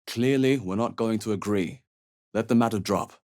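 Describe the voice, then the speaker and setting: A man's voice: fairly low pitch, normal speed, darkish tone, close to an everyday voice. An actor playing a cool-headed, suspicious male adventurer in a fantasy video game, with short, dry, theatrical remarks.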